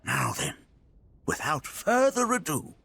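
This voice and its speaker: Eccentric old game sage: courtly, unhurried, wildly swooping intonation. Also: male voice, fairly high pitch, wildly swooping pitch, sharp tone, a little hiss or hum, full character voice. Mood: proud, inviting. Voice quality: creaky aged voice. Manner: courtly, leisurely, grandfatherly. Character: genial elderly male planetology scholar, chuckling, eccentric and learned. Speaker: teacher. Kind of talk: video game cutscene acting. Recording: music behind the voice.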